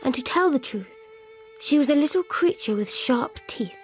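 Casting: thoughtful royal narrator, high voice, measured delivery, faint music underneath. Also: female voice, lively intonation. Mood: reflective. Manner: measured, reflective. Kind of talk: scripted dramatic narration.

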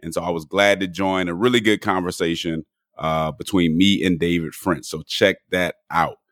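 A man hosting a podcast in relaxed conversational talk, his voice a little hoarse and cracking. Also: deep voice, moderate pace, no room sound, studio quality. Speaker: podcast host. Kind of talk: casual podcast chat.

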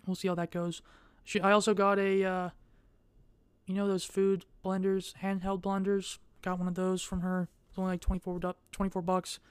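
A young adult woman vlogging in a casual, rambling way. She speaks in a monotone and hesitates often, with many fillers. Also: mid-range voice, normal speed, clean tone, no echo.

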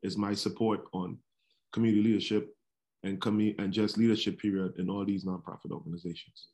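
A man from Trinidad and Tobago, a barber, answering interview questions in a halting way with many fillers. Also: on the low side, level tone, darkish tone. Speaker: barber, podcast guest.

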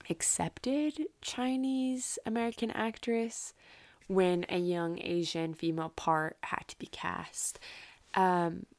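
A woman's voice: fairly low pitch, darkish tone. A woman hosting a solo podcast, telling history in a casual, informal way with rambling asides and self-interruptions. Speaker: podcast host.

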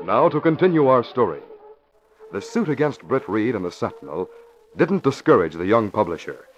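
Lively old-time radio announcer man. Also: medium pitch, normal speed, soft background music. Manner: emphatic, upbeat, punchy. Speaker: announcer and narrator. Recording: dull lo-fi old radio recording.

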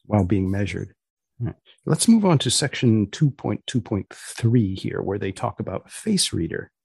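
Man, low husky voice, understated podcast host, close dry sound. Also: sing-song, moderate pace, darkish tone, no echo. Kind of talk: conversational interviewing.

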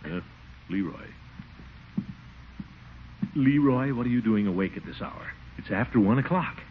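An actor playing a fond, blustery middle-aged uncle, hesitant and theatrical, in a 1940s radio comedy. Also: male voice, medium pitch, expressive, measured pace, lightly acted. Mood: tender, reassuring. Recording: noisy muffled 1940s radio broadcast.

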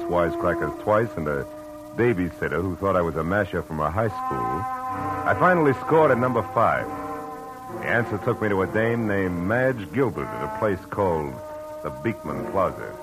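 A tough, wry American private eye in a vintage radio play. The voice sits very low, with clipped, slangy, wisecracking delivery. Music plays strongly behind the old broadcast recording. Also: male voice, lively intonation, moderate pace, low-quality recording. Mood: wry, reflective. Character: wry, hard-boiled male private detective. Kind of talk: 1940s-50s radio detective drama acting and narration.

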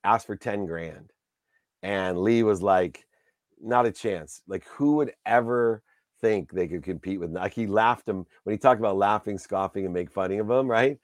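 A man's voice: fairly low pitch, moderate pace, no echo, studio quality. A man hosting a casual interview, talking in an enthusiastic, rambling way and heaping praise on his guest. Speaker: podcast host.

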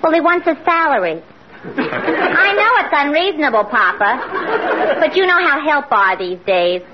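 A woman's voice: high-pitched, sing-song, moderate pace, crowd noise. An actress playing a man-hungry, brassy young New woman with affected airs, in 1940s radio comedy style. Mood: eager, brassy. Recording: muffled noisy old radio, studio audience.

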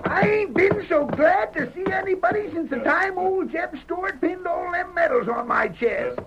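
Old Western sidekick, cracked and excitable, swooping delivery, radio play, heavy static. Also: male voice, very high voice, moderate pace. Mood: plaintive. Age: older. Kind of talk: old-time radio acting. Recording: noisy dull vintage radio recording.

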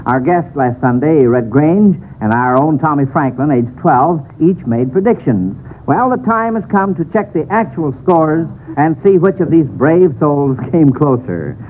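American male radio quiz host, 1940s style, formal and measured, on a thin vintage broadcast. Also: on the high side, lively intonation. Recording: tinny, noisy old radio broadcast.

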